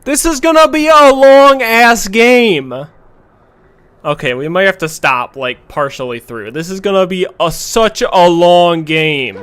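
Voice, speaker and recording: A man with a voice worn hoarse from talking, chatting casually with his stream audience while playing a console game and reading chat aloud. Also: high voice, measured pace. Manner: chatty, reads chat aloud, bursts into loud excited exclamations. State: losing his voice, sore throat. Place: at home playing a console shooter. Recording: loud game noise behind voice. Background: game gunfire, artillery and vehicles.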